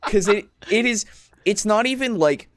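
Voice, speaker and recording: A man chatting casually as a podcast co-host, telling stories like a game master in an animated way. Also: sits high, moderate pace, no room sound, clean studio sound.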